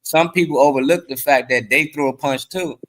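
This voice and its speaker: A man's voice: on the high side, moderate pace, a bit bright. A man giving his opinions casually on a talk show, conversational, arguing by example and quoting others.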